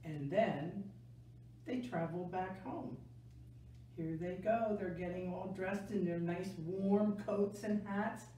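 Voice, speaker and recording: An older woman reading a picture book aloud to children in a gentle, deliberate way, stressing key words. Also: medium pitch. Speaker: library story time reader. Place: small indoor room, seated. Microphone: camera a few metres away. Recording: echoey room, distant mic, faint noise.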